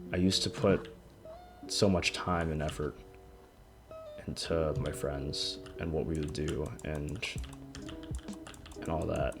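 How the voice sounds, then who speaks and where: A young man in his twenties with a deep voice, rambling hesitantly about his life in a personal vlog, often stopping and restarting. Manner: hesitant, halting, frequent restarts. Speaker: vlogger. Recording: music playing under the voice. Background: background music.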